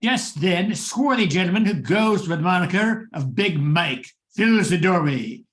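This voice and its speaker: A theatrical male host of an old-time radio mystery, played by a man. His voice sits high for a man, and he narrates with deliberate, dramatic phrasing. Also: expressive, a bit bright. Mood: suspenseful. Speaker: announcer.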